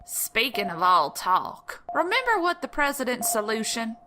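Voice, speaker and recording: High-voiced, hopeful woman soldier played by an actress. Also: big pitch swings, moderate pace, background noise. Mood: wry. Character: hopeful, stubborn woman soldier on monster watch. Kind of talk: unhurried two-hander comic dialogue.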